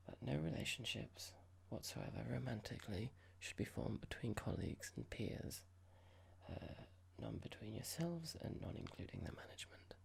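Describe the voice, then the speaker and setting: An ASMR performer playing a cold, condescending boss in a roleplay, speaking softly in a measured, formal, halting and passive-aggressive way. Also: mid-range voice, a little hiss or hum. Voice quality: breathy, creaky whisper. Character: cold condescending boss about to fire an employee. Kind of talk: ASMR roleplay, whispered and soft-spoken.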